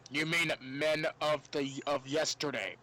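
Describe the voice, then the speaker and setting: A man's voice: on the high side, steady tone, a little slow, a crowd in the background. A man chatting casually about sport as a podcast panelist.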